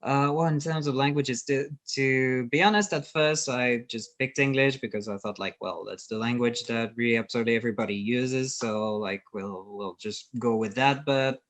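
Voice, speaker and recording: French-native man co-host, mid-pitched, halting and searching for words. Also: fairly even intonation, a bit bright. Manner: hesitant, many fillers and restarts. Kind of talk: casual video call interview.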